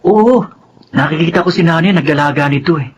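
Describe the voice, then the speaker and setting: A sullen Filipino schoolboy acted by a man in a radio drama, insistent and brusque. His voice sits fairly high, in a slightly dull recording with faint noise. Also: fairly quick, natural voice. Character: sullen, resentful teenage son, a schoolboy twin.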